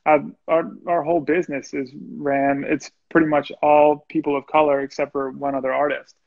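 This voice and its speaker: A man's voice: on the high side, flat delivery, moderate pace, gravelly, narrow, tinny audio. A young man, a musician guest, speaking earnestly and reflectively in a casual video-call interview. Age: young adult. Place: small room indoors. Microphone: video call, earbuds.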